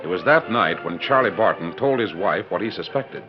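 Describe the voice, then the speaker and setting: A man's voice: deep voice, lively intonation, moderate pace. A man narrating a 1940s radio western drama in a formal, solemn, dramatic storytelling style. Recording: dull old radio recording, loud music.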